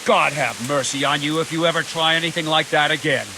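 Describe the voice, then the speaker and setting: An actor playing a gruff, bullying veteran captain in a video game, scolding with sarcasm and authority. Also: male voice, on the high side, a little fast, noisy background, lightly acted. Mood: furious. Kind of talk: video game cutscene acting.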